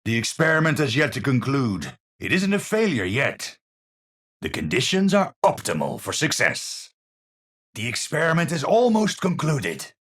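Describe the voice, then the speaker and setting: An actor playing a cerebral, haunted, middle-aged scientist in a video game, speaking formally and precisely with theatrical, pensive phrasing. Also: male voice, medium pitch, wildly swooping pitch, moderate pace, fairly bright tone, husky, full character voice. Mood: measured, intent. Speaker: video game hero character. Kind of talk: scripted game voice lines, theatrical.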